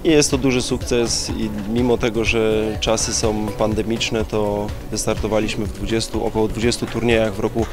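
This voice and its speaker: A man's voice: lowish voice, little pitch movement, normal speed, few pauses. A man in his thirties, a martial arts coach, giving an interview on a handheld microphone in a gym hall. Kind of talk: on-camera interview. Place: large gym hall, indoors. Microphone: handheld reporter microphone. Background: music.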